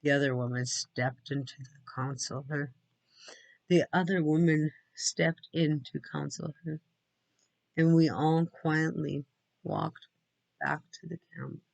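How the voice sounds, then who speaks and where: A man's voice: a little high, steady tone, slow pace, gravelly, no room sound, low-quality recording. A man reading a storybook aloud, sometimes dropping into casual asides. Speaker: read-aloud narrator.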